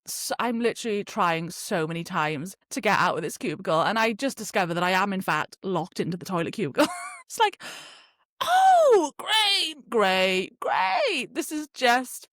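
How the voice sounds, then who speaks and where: Ożywiona walijka opowiadająca anegdotę. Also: female voice, fairly low pitch, fairly quick, dry recording, clean studio sound. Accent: Welsh. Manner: animated, laughs often, swears freely. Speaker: podcast host. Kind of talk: casual storytelling monologue.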